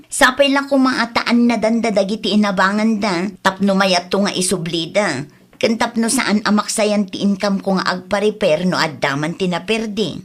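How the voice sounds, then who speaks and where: A woman's voice: mid-range voice, normal speed, lightly acted. A woman playing an easygoing, chatty village shopkeeper with an Ilocano accent in a comedy drama. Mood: chatty, respectful.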